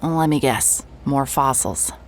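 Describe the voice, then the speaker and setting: A woman's voice: a bass voice, lively intonation, takes it slowly, a little hiss or hum, heavily acted. An actress playing a hard-boiled female private investigator who talks in a dry, sarcastic way. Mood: wry. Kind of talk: audio drama acting.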